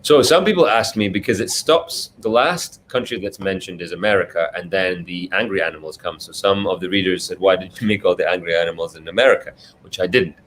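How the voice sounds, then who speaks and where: A low-pitched man from Scotland speaks as an author in a live question session. He is agreeable and feels his way through answers thoughtfully. There is clear background noise on the recording. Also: a little fast, a bit bright. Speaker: children's book author, guest.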